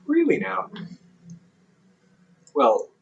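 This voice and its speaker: A goofy, caring older brother, as a man plays him talks slowly to the listener, his pitch leaping around with dramatic bursts and easy laughter. His voice sits at an ordinary middle pitch. He sounds a little distant from the mic. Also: fairly bright tone, muffled recording, slight background noise, full character voice. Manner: playful, chatty, laughs often, dramatic bursts. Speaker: audio roleplay performer. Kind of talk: audio roleplay to the listener.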